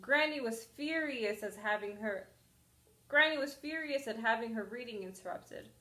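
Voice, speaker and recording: A woman reading a children's story aloud as an expressive storyteller. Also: a little high, moderate pace, roomy sound.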